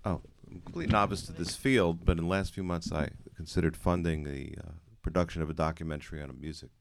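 Really deep voiced guy in the crowd asking a question, lots of ums and uhs. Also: gravelly. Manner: halting, with many fillers. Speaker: audience member asking a question. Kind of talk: question from the floor at a panel.

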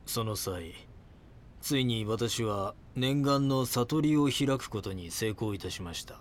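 An actor playing a calm, grandiose cult founder in an audio drama, speaking politely and preachily with deliberate phrasing. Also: male voice, fairly low pitch, very noisy. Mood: calm, solemn.

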